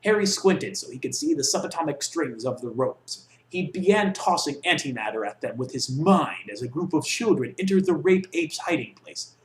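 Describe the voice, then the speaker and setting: A man's voice: on the high side, animated, normal speed, few pauses. Young man reading aloud, echoey room. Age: young adult. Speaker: reader. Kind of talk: reading a comic story aloud. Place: small dorm room with block walls, indoors.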